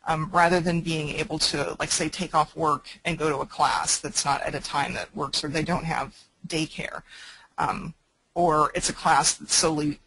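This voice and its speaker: Woman, webinar presenter, husky, gravelly, practical, filler-heavy. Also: deep voice, fairly bright tone, rough recording. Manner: plain, practical, with many fillers. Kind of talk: conference call presentation.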